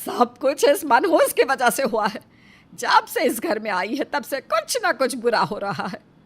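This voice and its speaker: A woman acts out a spiteful mother-in-law in a storybook family drama. Her fairly high voice scolds and accuses, heaping blame on others. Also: animated, measured pace, a bit bright. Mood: bitter, accusing. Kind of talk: dramatised moral story acting.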